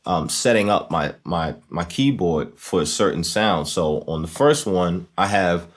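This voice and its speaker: Very deep-voiced man hosting a casual tutorial. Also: animated, unhurried. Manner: conversational, often checking in with "right?". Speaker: tutorial host. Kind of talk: casual instructional demonstration.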